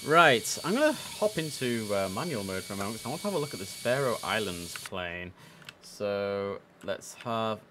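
A man's voice: lowish voice, moderate pace, background noise. Chatty young man in headset. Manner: relaxed, chatty, repeats words for emphasis. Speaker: streamer playing air traffic controller. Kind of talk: casual gameplay commentary with radio calls.

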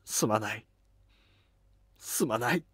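A man acts out an emotional Buddhist monk in a historical drama. His voice is husky and heartfelt, swinging widely in pitch. He speaks slowly, in a dry recording. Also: animated, fairly bright tone, no echo, full character voice. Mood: remorseful.

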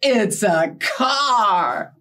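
A middle-aged woman hosting a storytime for young children, talking in an animated way with simple questions and playful surprise. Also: medium pitch, extremely slow. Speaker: children's librarian and storytime host. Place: small indoor set with felt board and book cart.

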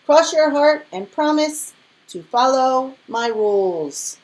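A woman's voice: sits high, lively intonation, takes it slowly, voice pushed up, poor audio. A woman voicing a defiant, bossy young girl who plays queen of the playground in a storybook, insistent and commanding.

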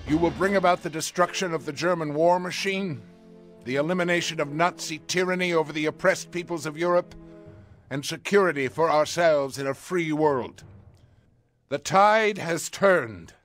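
An actor playing a calm, stern American army general giving measured, solemn speeches in a game cutscene. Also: male voice, on the high side, close to an everyday voice. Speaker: supreme commander. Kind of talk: video game cutscene acting. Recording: over background music.

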